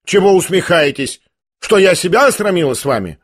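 A man's voice: high-pitched, animated, brisk, pitched above the performer's own voice. A man reading an audiobook, voicing an older noblewoman who scolds and lashes out in indignant outbursts. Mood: indignant scolding.

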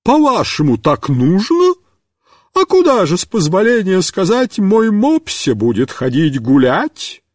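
Man doing a squealy indignant lady neighbor, way high, stretches every word out, super dramatic. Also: huge pitch swings, no echo, clean studio sound, exaggerated voice. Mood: shrill outrage. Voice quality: shrill, squealing. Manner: drawn-out, outraged exclaiming. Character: fussy shrill middle-aged woman neighbor, indignant.

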